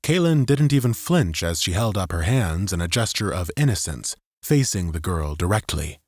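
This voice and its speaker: A man's voice: sits low, sing-song, moderate pace, no echo, clean studio sound. A man narrating dramatic fiction as a scripted audiobook.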